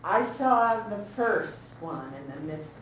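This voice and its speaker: Female audience member, unhurried and hesitant, giving notes in a very echoey room, distant from the mic. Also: mid-range voice, expressive, slight background noise. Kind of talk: informal spoken critique at a talkback. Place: indoor room at a staged reading talkback.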